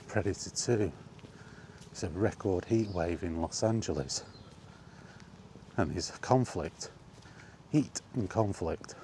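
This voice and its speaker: British man, low-pitched, mellow dark tone, blunt sarcastic film chat, some background noise. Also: slow pace, pauses often. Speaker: film vlogger. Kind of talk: casual opinionated film chat, rambling monologue.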